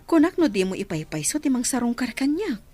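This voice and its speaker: A woman playing a soft-hearted, respectful younger woman in an Ilocano audio drama, with an Ilocano accent. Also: a little high, sing-song, a little fast, faint music behind. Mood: shy, friendly. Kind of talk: radio drama acting.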